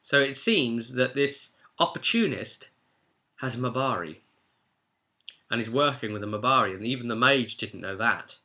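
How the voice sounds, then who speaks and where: A man giving an animated, chatty, informal recap of a role-play session as game master. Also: mid-range voice, normal speed. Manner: chatty, acts out players' exchanges.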